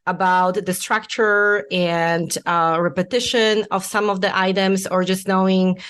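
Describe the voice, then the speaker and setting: A woman in her forties from Poland with a Polish accent, a guest accountant in a podcast interview, explaining in a measured way with frequent pauses. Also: medium pitch, little pitch movement, a bit bright, clear, resonant tone.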